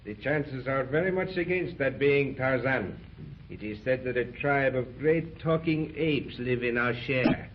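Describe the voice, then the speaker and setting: A man's voice: mid-range voice, a little hiss or hum, lightly acted. An actor playing a composed, stiffly formal male expedition leader in a 1930s radio serial, on a dull old recording. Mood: measured. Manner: formal, measured.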